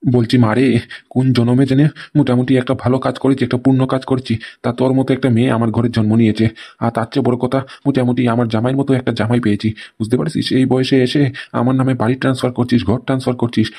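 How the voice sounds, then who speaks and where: Old cartoon father-in-law played by a man, touchy and blustering, emphatic, extremely quick talker. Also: medium pitch, flat delivery, warm tone, natural voice. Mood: pleased, grateful. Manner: emphatic, repeats tag questions. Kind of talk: amateur comedy cartoon dialogue.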